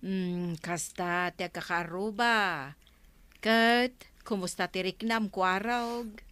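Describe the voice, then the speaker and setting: Actress as kindly councilwoman, medium pitch, Ilocano accent, considerate neighbourly manner, comedy drama. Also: fairly even intonation, takes it slowly, a bit bright, clear, resonant tone, no echo. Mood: caring. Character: kindly, helpful village councilwoman.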